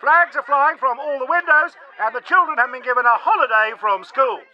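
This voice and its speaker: A man's voice: extremely high pitch, brisk. An actor playing a bumbling, stammering male TV news reporter in a radio drama, heard as a dull broadcast. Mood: eager. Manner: flustered, stammering. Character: bumbling male TV reporter squeezing through crowd.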